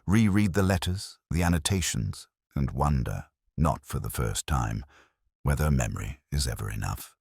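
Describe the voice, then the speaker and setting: A man playing a weary, reflective older detective, narrating a crime drama in measured, solemn, literary phrases. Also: very deep voice, no room sound, studio quality, full character voice. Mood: wistful, restrained. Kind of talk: scripted first-person detective story narration.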